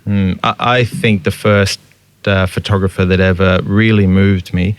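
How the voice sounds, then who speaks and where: A man's voice: sits low, level tone, moderate pace, clean tone. A man, a photographer and teacher, talking thoughtfully in an interview and searching for his words. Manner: thoughtful, searching, with frequent restarts. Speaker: photographer and educator. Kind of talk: reflective interview conversation.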